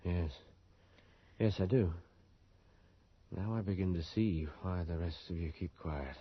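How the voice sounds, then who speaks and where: An actor playing a polite, questioning, educated visiting reporter in a 1980s radio drama. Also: male voice, low-pitched, unhurried, husky. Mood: incredulous. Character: visiting male reporter, polite, probing, incredulous. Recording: dull lo-fi radio drama recording.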